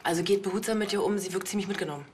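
An actress playing a brisk, informal policewoman at a village station in a TV crime comedy. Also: mid-range voice, a little hiss or hum, lightly acted. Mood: concerned.

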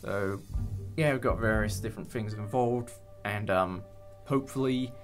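A man's voice: on the low side, normal speed, faint music behind. A young man hosting a casual behind-the-scenes chat about a production, speaking in a hesitant, appreciative way. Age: young adult. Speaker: host and audio drama producer.

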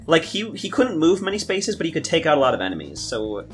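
Halting young man in casual chat. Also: on the high side, big pitch swings, a bit bright. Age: young adult. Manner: rambling, halting, repeats words. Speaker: podcast guest and game maker. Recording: music playing under the talk. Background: guitar music.

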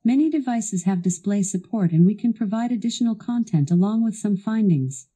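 A woman's voice assistant delivering scripted product demo narration in a polished, instructional, clearly enunciated way. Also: medium pitch, lively intonation, fairly quick, warm tone.

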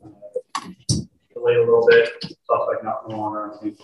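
A man's voice: mid-range voice, completely flat delivery, normal speed, dull audio. A man testifying as a sheriff's deputy, giving terse, matter-of-fact formal answers. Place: courtroom, picked up from afar. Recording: very echoey courtroom, distant mic, faint noise.

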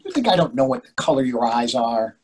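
A man plays an irritable, hungry older husband in readers theatre. He is argumentative and put-upon, with lively, swinging intonation. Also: medium pitch, fairly quick, lightly acted. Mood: defensive. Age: 60s. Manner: exasperated, argumentative. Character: grumpy, hungry middle-aged husband out at dinner. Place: indoors on a video call.

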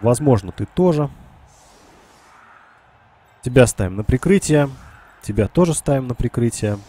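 A man's voice: medium pitch, expressive, a little slow, mellow. A man, congested and nasal from a cold, casually commentating a strategy game live for his chat and thinking aloud. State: sick with a cold. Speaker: game streamer.

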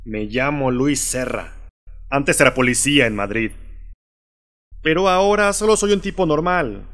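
A man voices an easygoing Spanish ex-cop from a video game, a cocky flirt. He teases and chats playfully, drawing his words out slowly at a middling pitch. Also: expressive, fairly bright tone. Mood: playful, teasing. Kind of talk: fan-dubbed video game cutscene acting.

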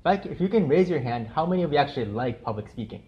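A man's voice: on the high side, expressive, rapid-fire, crowd noise. Young guy from japan giving a casual art talk in a classroom, lots of ums, kinda muffled recording from across the room. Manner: friendly, chatty, many fillers. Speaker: artist giving a gallery lecture. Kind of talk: casual presentation to students. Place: university lecture room with projector screen.

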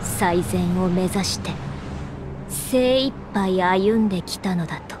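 A woman's voice: lowish voice, takes it slowly, background noise. A woman voicing a sorrowful, eerily serene young female herald of doom in a game, with solemn, lyrical delivery and music underneath. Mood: solemn, mournful. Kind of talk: scripted dramatic game monologue.

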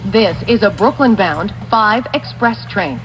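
A woman's voice: expressive, a little slow, faint music behind. Muffled American woman making train announcements. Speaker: transit announcer. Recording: dull, noisy recording aboard subway train. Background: train rumble and vehicle noise.

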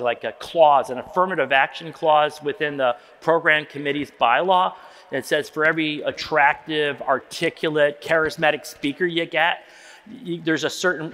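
A man's voice: on the high side, fairly bright tone. A man in his sixties giving an informal, self-deprecating, rambling conference talk from an auditorium stage. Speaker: keynote lecturer. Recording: long hall reverb.

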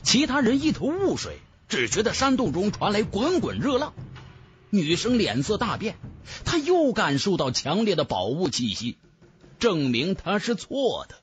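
A man's voice: sits high, wildly swooping pitch, moderate pace, faint music behind. A man narrating a fantasy audiobook in a dramatic, scripted storytelling style.

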